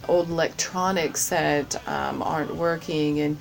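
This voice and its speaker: A woman with a fairly low voice answers interview questions at an unhurried pace. She stops often, restarts her sentences and fills the gaps with ums. Soft music plays faintly behind her. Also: steady tone, creaky, low-quality recording. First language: English. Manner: hesitant, many fillers and restarts. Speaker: course participant, general manager.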